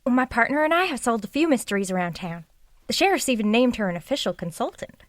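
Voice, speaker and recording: Reasonable, placating sidekick investigator for audio comedy, slightly high pitch, dry close recording. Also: female voice, sing-song, fast, no room sound. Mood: helpful. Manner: reasonable, conciliatory. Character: sensible, helpful female sidekick sleuth, quick to smooth things. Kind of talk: comic audio drama acting.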